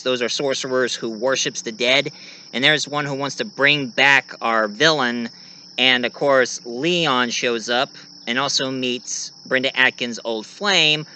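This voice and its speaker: Bright-voiced man rambling about his stories. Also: a little high, a little hiss or hum. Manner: rambling, halting mid-sentence with self-corrections. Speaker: amateur writer and online video creator. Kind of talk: casual show-and-tell of own story characters.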